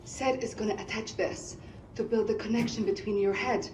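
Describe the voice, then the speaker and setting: A woman's voice: mid-range voice, fairly even intonation, moderate pace, darkish tone, a little hiss or hum, lightly acted. An actress playing a caring, tender young woman, a resistance comrade in a video game. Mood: reassuring. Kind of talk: dramatic video game acting. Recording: echoey, distant, music behind.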